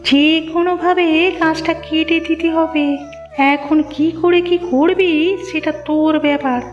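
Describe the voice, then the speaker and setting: A scheming village woman voiced by an actress in a moral-story cartoon, with a very high, girlish pitch. She is resentful and insistent, and the sound is dull and lo-fi over faint music. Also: fairly even intonation, measured pace, in a large hall.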